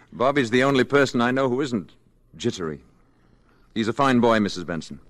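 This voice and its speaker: An actor playing a calm alien visitor in human guise, formal and deliberate, in old radio drama style. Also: male voice, medium pitch, no echo, lightly acted. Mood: gentle, courteous. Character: calm, grave alien visitor posing as mild-mannered man.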